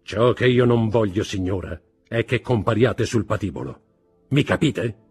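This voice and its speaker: A man's voice: fairly low pitch, breathy edge, soft background music, pitched above the performer's own voice. A man voicing a stern, merciless magistrate and husband in an audiobook drama, commanding and contemptuous as he interrogates. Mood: grim, relentless. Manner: commanding, contemptuous, deliberate interrogation rising to shouts.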